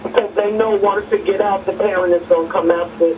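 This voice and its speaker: A man's voice: high-pitched, steady tone, brisk. A man phoning a radio show with a spontaneous question. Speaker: caller. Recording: dull, noisy, distant radio call.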